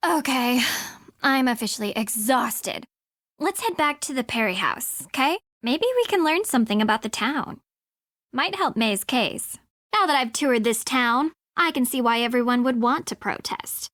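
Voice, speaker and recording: A woman's voice: fairly high pitch, animated, moderate pace, a bit bright, no echo, studio quality. A woman playing a blunt, sassy young sidekick in a video game, breezy, teasing and impatient. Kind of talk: scripted video game dialogue.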